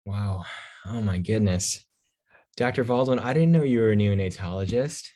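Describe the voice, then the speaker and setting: A man speaks as a pediatrician on a panel. His voice is notably deep. He talks in a casual, unscripted way. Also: moderate pace, warm tone.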